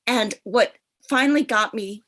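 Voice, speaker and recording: A woman with an American accent speaking as a panelist over a video call, enthusiastic and quick to laugh. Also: a little high. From United States. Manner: warm, enthusiastic, laughs often. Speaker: panelist, author. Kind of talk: panel discussion.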